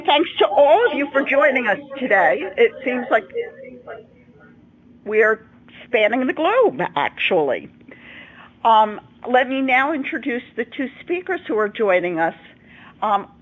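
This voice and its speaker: A woman with a fairly high voice that has a husky, rough edge. She is moderating an educational program, making introductions in a measured and organized manner, like a practiced public speaker. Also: poor audio. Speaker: host and moderator. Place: school room, live videoconference.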